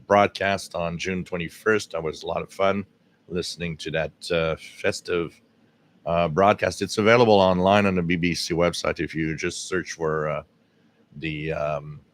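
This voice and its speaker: Guy from quebec with a really low scratchy voice, chatty livestream host, lots of uhs. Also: fairly bright tone. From Quebec, Canada. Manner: rambling, many fillers and restarts. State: scratchy, hoarse voice. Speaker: radio hobby livestream host. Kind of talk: casual live stream chat. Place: home radio room with air conditioning running. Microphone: directional microphone.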